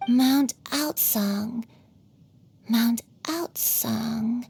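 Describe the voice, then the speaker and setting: A woman voicing a frail, gentle elderly granny in a video game, halting and troubled. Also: fairly high pitch, breathy edge, heavily acted. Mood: bewildered. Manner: halting, wistful, unsure. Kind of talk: scripted video game acting.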